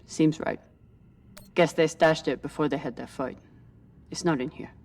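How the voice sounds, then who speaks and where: A woman's voice: low-pitched, fairly even intonation, moderate pace, a little mellow, distant mic. An actress playing a tough female ship captain in a video game, speaking in a terse, blunt way. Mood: grim.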